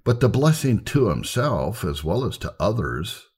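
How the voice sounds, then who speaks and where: A man narrating church history from a script in a solemn, measured, emphatic storytelling manner. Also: lowish voice, very animated, darkish tone, husky. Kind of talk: scripted narration, reading aloud.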